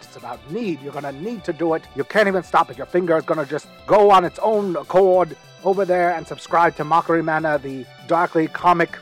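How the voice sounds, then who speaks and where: A man acts out a goofy, eccentric podcast announcer with a fairly high voice. He rambles through a comic promo with mock-earnest enthusiasm and hesitant little asides, over music. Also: lightly acted. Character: Lyman Keys, chatty goofy eccentric male podcast host. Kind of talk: comedic rambling ad read.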